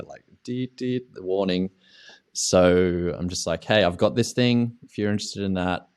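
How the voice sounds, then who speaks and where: A man's voice: sits low, darkish tone. An Australian man, an online ski coach, answering interview questions in a casual, rambling way with many restarts and fillers.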